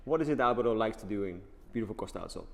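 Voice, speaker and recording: A man hosting a company channel interview, energetic and enthusiastic as he puts questions to a colleague. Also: fairly low pitch, racing, gravelly. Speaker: host and interviewer.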